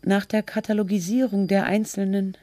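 An actor playing a person who takes a dry, methodical inventory in a radio drama, itemizing deliberately. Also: mid-range voice, flat delivery, warm tone, natural voice. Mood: matter-of-fact. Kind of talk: scripted radio drama, listing items in fake documentary.

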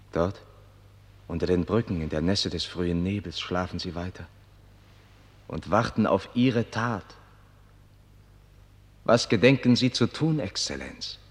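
An actor playing a ruler's deferential confidant in a theatrical radio drama, with a measured, solemn and formal manner. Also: male voice, deep voice. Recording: reverberant hall with long echo tail.

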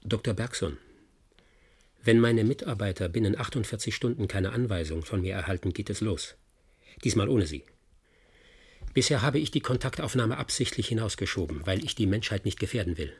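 An actor in a German radio play playing a defiant, guilt-ridden scientist with a grave, deliberate delivery. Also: male voice, on the low side, lively intonation, breathy edge. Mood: determined, threatening.

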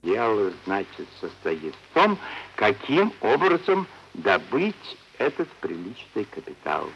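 Casting: unctuous middle-aged schemer, pompous and coaxing, slow and swooping, classic radio drama. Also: male voice, fairly low pitch, wildly swooping pitch, vocal fry, full character voice. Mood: sly, probing. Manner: unctuous, pompous, deliberate officialese with coaxing diminutives. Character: oily scheming merchant's son-in-law, pompous, wheedling, middle-aged official. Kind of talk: theatrical classic Russian stage acting. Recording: dull vintage radio recording, faint hiss.

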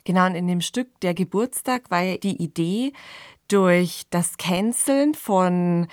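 A woman's voice: lowish voice, slow pace, no echo. A woman co-hosting a podcast, discussing analytically and thoughtfully into a close studio mic. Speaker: podcast co-host.

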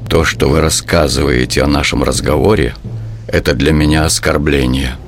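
A male actor playing a proud, bitter revolutionary assassin in a historical audio play, grave and deliberate in delivery. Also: steady tone, a little hiss or hum. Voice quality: very deep, gravelly. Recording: music bed under the voice.

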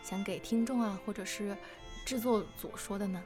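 A woman's voice: mid-range voice, fairly even intonation, normal speed, music in the background. A woman hosting a casual live interview, putting questions to her guests. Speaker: livestream host interviewing voice actors.

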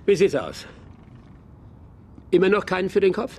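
A man playing an obsessive, excitable scientist, a pompous and halting professor in a German radio crime drama. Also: high voice. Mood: impatient, obsessive. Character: obsessive, excitable professor running transplant experiment. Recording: clear background noise.

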